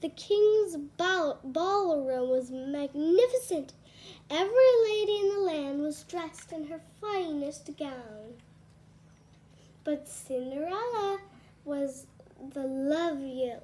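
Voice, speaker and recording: A woman's voice: sits high, lively intonation, slow, dark tone. A woman reading a children's storybook aloud as a storyteller.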